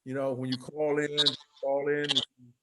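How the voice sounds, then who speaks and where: Older man speaking haltingly, informally. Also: mid-range voice, little pitch movement, very noisy. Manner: halting, rambling delivery. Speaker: theatre company leader. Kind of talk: informal closing remarks. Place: group video call.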